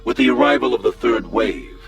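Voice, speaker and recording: An actor playing a cold, commanding alien leader in a radio drama, giving orders in a deliberate, authoritative manner. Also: male voice, medium pitch, natural voice. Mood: stern command. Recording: music behind the voice.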